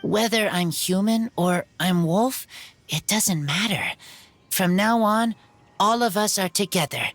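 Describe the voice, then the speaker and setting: A woman voicing an earnest, loyal young boy raised by wolves in a video game, speaking in simple, halting phrases. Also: medium pitch, a little hiss or hum, close to an everyday voice. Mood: heartfelt.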